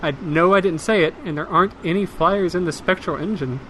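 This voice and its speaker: A man's voice: high-pitched, a little fast, a bit bright, a little hiss or hum, rough recording. A man playing a blunt, unbothered magic user with a dry, pedantic manner in a comedic audio drama. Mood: matter-of-fact. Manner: dry, matter-of-fact, pedantic. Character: Mike, blunt unbothered magic user guiding his reluctant friend.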